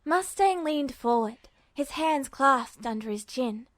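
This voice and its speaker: A woman reading fan fiction aloud as a podfic, with even, attentive storytelling narration. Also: sits high, slow pace, no room sound. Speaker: podfic reader.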